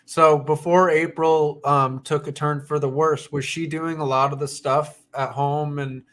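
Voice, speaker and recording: A man's voice: a little high, level tone, unhurried, fairly bright tone. A man hosting a casual live stream discussion, talking in a sympathetic, inquisitive way.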